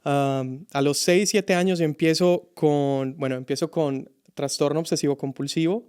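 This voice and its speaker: A man from Colombia, a youth pastor telling his story as a podcast guest, reflective and halting. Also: a little high, fairly even intonation, darkish tone, clear, resonant tone. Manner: reflective, halting with many restarts. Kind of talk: casual podcast conversation.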